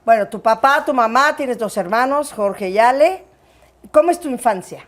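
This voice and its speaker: A woman's voice: fairly high pitch, animated, normal speed. A woman with a Mexican accent hosting a personal interview in an effusive, affectionate manner. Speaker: interview show host.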